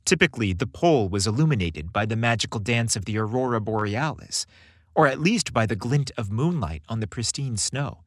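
A man narrating a scripted podcast story in an expressive, audiobook-like way. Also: medium pitch, brisk, no room sound, studio quality.

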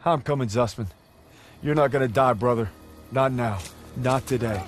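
An actor playing a determined, haunted young American soldier in a war game. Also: male voice, mid-range voice, normal speed, slight background noise, lightly acted. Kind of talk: cinematic war game acting and narration. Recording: dramatic music behind the voice.

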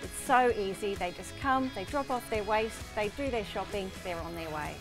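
A woman's voice: mid-range voice, normal speed. A middle-aged woman with an Australian accent, explaining a service in an upbeat, enthusiastic way in a promotional interview. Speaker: shop owner being interviewed. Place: stockroom of a shop, later outdoors by bins. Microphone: lapel mic. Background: background music.

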